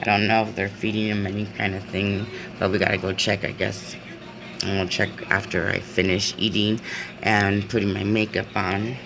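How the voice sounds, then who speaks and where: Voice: low-pitched, flat delivery, moderate pace, gravelly. A vlogger chatting casually in a video diary, rambling with many fillers and frequent laughs, filmed on a phone in an indoor room. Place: indoor room with a window view. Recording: noisy room ambience.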